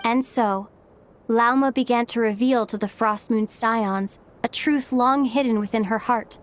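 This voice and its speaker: A woman playing a storyteller in a video game, narrating in a measured, literary manner. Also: fairly high pitch, a little hiss or hum, natural voice. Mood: solemn, storytelling.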